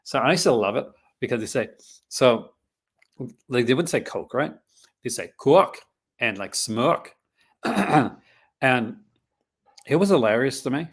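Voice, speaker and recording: A man's voice: medium pitch, expressive, measured pace, pauses often. A Canadian man, a podcast host with a mixed Canadian accent partly from Newfoundland, chatting casually and rambling through restarted sentences. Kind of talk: casual chat.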